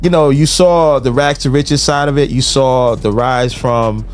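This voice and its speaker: A man's voice: medium pitch, steady tone, a little slow, faint music behind. An American man hosting a podcast, talking casually with lots of slang and conversational tags. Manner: slangy, full of conversational tags like "you know". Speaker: podcast host.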